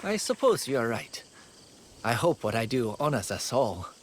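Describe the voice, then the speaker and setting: A man's voice: medium pitch, very animated, normal speed, a little hiss or hum, close to an everyday voice. An actor playing an earnest young man who protects his villagers, in a dramatic video game.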